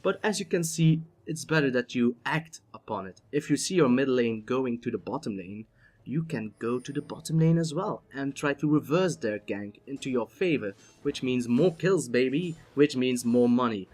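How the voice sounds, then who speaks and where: A young man doing solo play-by-play commentary on a video game match, chatty and playful, rising to excited shouts on big plays. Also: medium pitch, moderate pace.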